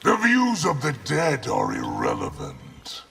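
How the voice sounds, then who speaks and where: A man's voice: medium pitch, wildly swooping pitch, slow pace, vocal fry, very noisy, full character voice. A man playing an arrogant villainous sorcerer in a video game, haughty and taunting. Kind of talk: video game character dialogue. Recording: loud music under the voice.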